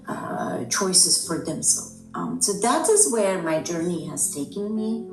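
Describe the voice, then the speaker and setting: A middle-aged woman from Afghanistan, a native Dari speaker, answering interview questions in a thoughtful way with many pauses and fillers. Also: low-pitched. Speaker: guest. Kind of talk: conversational interview. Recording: echoey room, distant mic, music behind.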